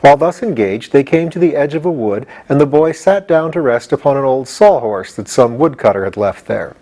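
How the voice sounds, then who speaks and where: Man reading a storybook, lively intonation. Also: mid-range voice, fairly quick, low-quality recording. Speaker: audiobook reader. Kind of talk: scripted storybook narration.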